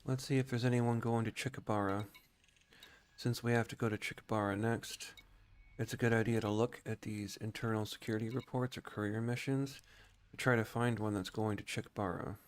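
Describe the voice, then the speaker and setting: A man's voice: on the low side, fairly even intonation, a little fast, smooth. A man giving casual commentary as he plays a space flight game, with short role-play voice commands.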